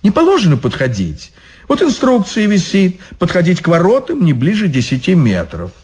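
A smug male devil on gate duty, voiced by a man, who scolds and talks down to everyone. His voice sits higher than the narrator's and has a sneer in it. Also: huge pitch swings, moderate pace, darkish tone, breathy edge, full character voice. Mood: condescending.

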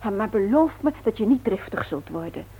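An actress playing a supportive, concerned wife in a 1960s radio drama, gentle and breathy. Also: female voice, mid-range voice, very animated, a little fast, phone-quality sound. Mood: caring, concerned. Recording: old radio play recording.

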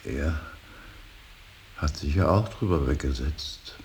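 An older male actor playing a grieving, stern and brooding old painter in a radio drama, speaking in a halting, weighty, solemn stage manner. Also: a little mellow. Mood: weary, grieving. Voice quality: very deep, gravelly. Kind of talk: slow, solemn German stage-style radio drama acting. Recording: hissy radio play recording.

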